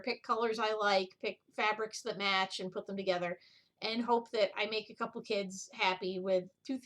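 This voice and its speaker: A woman's voice: fairly high pitch, fairly bright tone. A middle-aged woman chatting casually as the host of a needlework video, rambling and drifting off on tangents. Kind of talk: casual chat, show-and-tell vlog.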